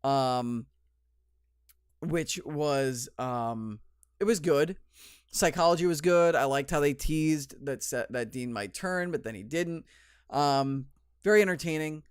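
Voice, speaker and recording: Young male podcast host, under the weather, smooth mid voice, chatty and full of ums, dry close sound. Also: fairly high pitch, slow pace, dry recording. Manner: rambling, lots of ums and restarts, enthusiastic. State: slightly sniffly with a cold. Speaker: podcast host reviewing wrestling. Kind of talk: solo casual podcast review.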